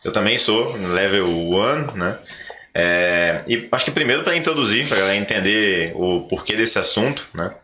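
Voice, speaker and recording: A man's voice: fairly low pitch, animated, normal speed, pushed and bright, a little hiss or hum. A man with a Brazilian accent hosting a podcast, explaining things in a conversational way. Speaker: podcast host.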